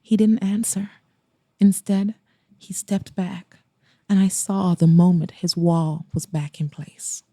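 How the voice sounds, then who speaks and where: A woman hosts and narrates romance audiobooks in a slightly low voice. She takes her time, with a dramatic, deliberate delivery and a soft dark tone. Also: little pitch movement, no echo, studio quality. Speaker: podcast host and audiobook narrator.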